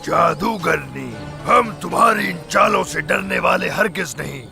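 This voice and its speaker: A man voicing a proud, menacing chief of thieves in a cartoon, gravelly and boastful. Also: on the high side, expressive, over music, heavily acted. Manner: boastful, threatening, drawn-out delivery. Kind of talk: animated cartoon dub acting.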